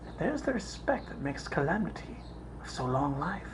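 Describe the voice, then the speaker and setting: A performer playing a brooding Shakespearean prince in a theatrical soliloquy, deliberate and pausing, in a home recording. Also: medium pitch, very animated. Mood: bitter, indignant. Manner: deliberate, pausing, dropping to whispers. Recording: noisy amateur home recording.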